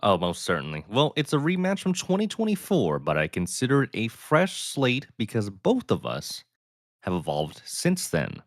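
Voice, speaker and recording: A male co-commentator with a very low, smooth and soothing voice, recorded dry and close. He talks in a calm, thoughtful way, weighing the strategy before he speaks. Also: moderate pace, dry recording. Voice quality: deep, smooth voice. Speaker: player and guest commentator. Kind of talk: casual game commentary.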